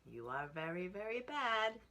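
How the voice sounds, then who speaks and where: Storybook brother pig, read by a woman: silly, bossy boy, animated emphatic sing-song. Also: mid-range voice, unhurried, pitched above the performer's own voice, full character voice. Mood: scolding.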